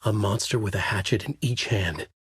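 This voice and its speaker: An actor playing a brooding, haunted male novelist who narrates his dark horror thriller in a measured, grave, husky voice for a video game. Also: lowish voice, dry recording, studio quality. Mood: ominous. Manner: measured, grave, dramatic delivery. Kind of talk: scripted noir horror narration.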